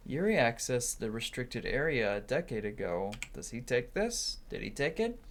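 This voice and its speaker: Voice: medium pitch, a little hiss or hum. A video game player muttering through a let's play, reading game text aloud and commenting to themselves. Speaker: video game player and amateur voice-over reader. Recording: music behind the voice. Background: game music.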